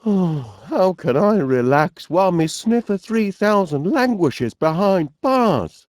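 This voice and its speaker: Northern english cartoon inventor bloke, really bouncy intonation, bumbling n polite, dry close sound, bit of a fusspot. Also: male voice, high-pitched, big pitch swings, takes it slowly, darkish tone, dry recording, heavily acted. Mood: plaintive, puzzled. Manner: bumbling, polite, musing aloud. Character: cheerful, fussy, absent-minded middle-aged male inventor. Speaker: video game voice actor. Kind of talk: animated comedy game acting.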